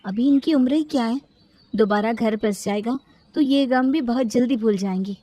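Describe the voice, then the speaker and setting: A woman's voice: a little high, normal speed, warm tone, slight background noise, lightly acted. A woman voicing a sympathetic, persistent young sister-in-law in a storybook tale, respectful and pleading. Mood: hopeful, reasoning.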